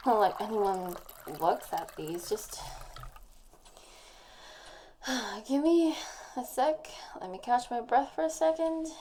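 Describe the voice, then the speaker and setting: A young woman playing a tipsy, needy, lovesick best friend in an intimate audio roleplay, rambling and halting as if leaving a voicemail. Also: on the high side, warm tone. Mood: sheepish, apologetic. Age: young adult. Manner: drawn-out, rambling, sighing, halting. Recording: faint background noise.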